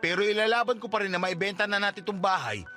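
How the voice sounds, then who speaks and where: Bright, high-voiced, irritated husband. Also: male voice, fast, soft background music. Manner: curt, exasperated. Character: cold, irritated husband pushing to end his marriage. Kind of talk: radio drama dialogue in Tagalog.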